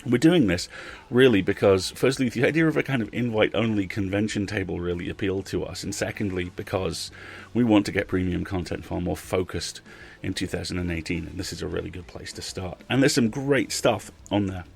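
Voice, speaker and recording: Male podcast host, low and husky, chatty delivery with emphatic phrasing. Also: brisk, hardly any pauses. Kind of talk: podcast outro with donation appeal.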